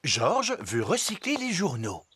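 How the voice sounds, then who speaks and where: A man's voice: fairly high pitch, wildly swooping pitch, a little slow, a bit bright, a little hiss or hum, exaggerated voice. A man playing the friendly narrator of a preschool cartoon, with simple storybook delivery. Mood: narrating. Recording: music under the voice.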